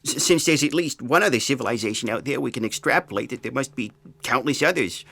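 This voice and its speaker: A man's voice: medium pitch, expressive, quick pace, creaky, a bit bright. An actor playing an irritable, disgraced geologist in a comic audio drama, testy, sarcastic and pedantic as he explains himself. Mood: pedantic, earnest.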